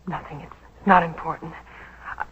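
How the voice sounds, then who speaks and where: Polite young female secretary, bright and hesitant, noisy vintage radio drama. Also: sits low, steady tone, normal speed. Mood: uneasy. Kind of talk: 1940s radio drama acting. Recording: muffled, noisy vintage 1940s radio broadcast.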